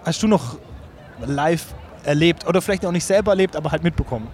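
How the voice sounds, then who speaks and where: A young man, telling anecdotes in a colloquial, halting, casual chat. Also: fairly high pitch, expressive, darkish tone, slight background noise.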